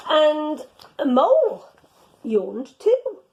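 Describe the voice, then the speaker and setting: Slow, expressive woman storyteller. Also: sits high, muffled recording. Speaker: teacher reading a story to young children. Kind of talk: expressive children's storybook narration.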